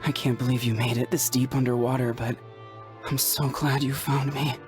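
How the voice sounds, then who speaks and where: A woman playing a weary, shaken young female warrior in a video game, speaking haltingly with sighs. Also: extremely low pitch, flat delivery. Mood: tender, weary. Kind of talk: dramatic video game voice acting. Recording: loud music under the voice.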